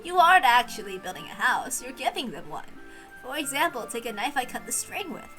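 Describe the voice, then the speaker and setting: Kindly servant woman played by a female reader, playful and coaxing, explaining gently in visual novel style over music. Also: a little high, very animated, a little fast, low-quality recording. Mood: playful, instructive. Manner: gentle, coaxing, chuckling storyteller.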